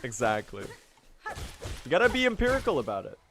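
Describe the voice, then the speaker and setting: A young man chatting casually to his stream while speedrunning, self-mocking and quick to laugh, his voice still recovering from strain. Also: medium pitch, huge pitch swings, fairly bright tone, slight background noise.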